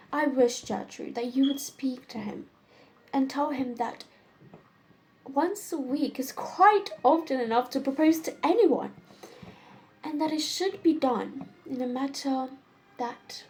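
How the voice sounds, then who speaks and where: A young woman playing a witty, fussy upper-class society lady in a stage comedy monologue, deliberate and complaining. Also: high voice, darkish tone, a little hiss or hum, rough recording. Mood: fond, exasperated.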